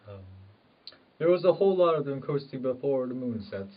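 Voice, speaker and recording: A man's voice: medium pitch, animated, a little fast, lightly acted. A man playing a poor, conflicted farmer with rural old-fashioned phrasing in an amateur period drama. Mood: tender, reassuring. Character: poor, conflicted married farmer, secretly in love. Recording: muffled audio, distant microphone.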